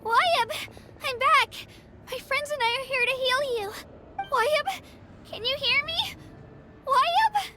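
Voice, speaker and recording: A tiny-sounding, plucky girl warrior from an anime game. The voice is extremely high and swoops about with childlike earnestness, over loud music. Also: lively intonation, takes it slowly, long pauses, full character voice. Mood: worried, urgent. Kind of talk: anime-style video game voice acting.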